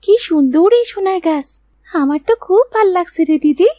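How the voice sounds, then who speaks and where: Very high-voiced little girl played by a woman. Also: animated, normal speed, dark tone, no room sound, studio quality. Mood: eager. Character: plucky little girl, the younger sister. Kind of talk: cartoon fairy-tale voice acting.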